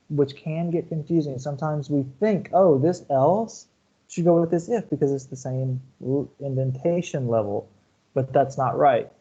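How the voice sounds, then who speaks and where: Male lecturer, mellow voice, muffled lo-fi call audio, patient step-by-step explaining. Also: a little high. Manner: explanatory, checks in with "okay?" and "right?". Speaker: university computer science lecturer. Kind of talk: online lecture over shared slides.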